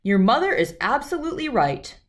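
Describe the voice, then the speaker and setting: A woman's voice: a little high, big pitch swings, brisk, voice pushed up. A woman voicing a blustery, emphatic father bear in a children's storybook. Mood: pompous.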